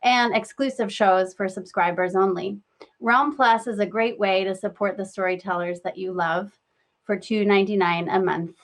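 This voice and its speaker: A woman's voice: mid-range voice, fairly even intonation, moderate pace. A woman speaking as a company executive, presenting a scripted promotional announcement.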